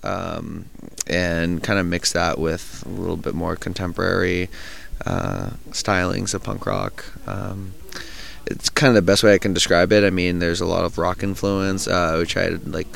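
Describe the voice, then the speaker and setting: A man's voice: low-pitched, moderate pace, vocal fry. A young man who sings in a punk band, answering interview questions in a rambling, casual way with lots of fillers. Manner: rambling, many fillers and restarts. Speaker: lead vocalist and guitarist of a punk band.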